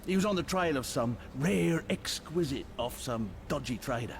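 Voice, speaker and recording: A man's voice: medium pitch, animated, moderate pace, gravelly, slight background noise. A man playing a shifty, brash space barkeeper who wheedles and bargains in a video game. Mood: surprised, relieved.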